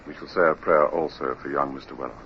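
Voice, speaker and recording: An actor playing a grave naval captain in a 1950s radio drama, formal and deliberate in speech. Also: male voice, extremely low pitch, fairly even intonation. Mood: solemn, official. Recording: muffled, hissy vintage radio recording.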